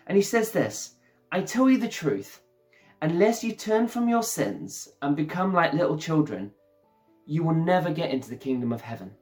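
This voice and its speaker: A man's voice: a little high, big pitch swings, moderate pace, darkish tone. A man preaching an enthusiastic, conversational sermon who talks to his listeners as "guys", over faint background music.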